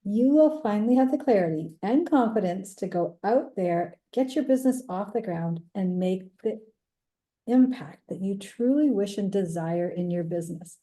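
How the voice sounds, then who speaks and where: A woman's voice: medium pitch, animated, normal speed, warm tone. A woman hosting an interview podcast, reading an introduction and asking encouraging questions. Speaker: podcast host.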